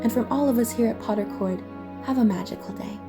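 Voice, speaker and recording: A woman with a husky voice reading scripted audio drama narration as the narrator and host. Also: on the high side, fast, music in the background.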